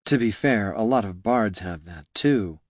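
A man's voice: fairly low pitch, expressive, unhurried, no echo. A man voicing a caustic woman spellcaster in a fantasy audiobook, with a dry, cutting manner. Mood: sardonic. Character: caustic, sniping female adventurer spellcaster.

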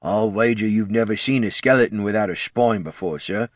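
A man's voice: fairly low pitch, fairly quick, dry recording, clean studio sound, lightly acted. A man voicing a grumbling, sarcastic undead heckler in a fantasy audiobook, muttering and snappy. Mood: snappy, sardonic.

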